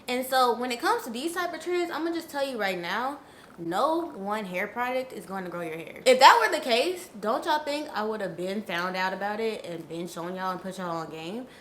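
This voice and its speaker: A woman's voice: mid-range voice, animated, moderate pace, a bit bright, reverberant, a little hiss or hum. A young woman with a stuffy nose from a cold, giving frank, chatty hair care advice straight to her viewers. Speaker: natural hair vlogger. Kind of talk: casual advice vlog.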